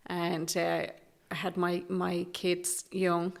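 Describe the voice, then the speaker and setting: A middle-aged Irish woman from Galway, speaking softly and hesitantly as a guest in an interview, with many restarts. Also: on the low side, completely flat delivery, takes it slowly, creaky. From Galway, Ireland. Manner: soft-spoken and hesitant, with many fillers and restarts. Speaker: guest, Traveller activist. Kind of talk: candid interview conversation.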